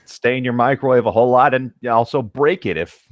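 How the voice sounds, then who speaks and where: A man's voice: mid-range voice, fairly quick, no echo, highs cut off. A young adult man co-hosting a comedic fan fiction reading in a casual, wry, slightly stumbling way. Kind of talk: casual, joking commentary and read-aloud.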